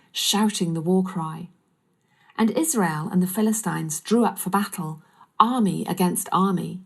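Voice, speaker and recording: A woman's voice: medium pitch, a little mellow, breathy edge. A woman reading scripted narration of a Bible story.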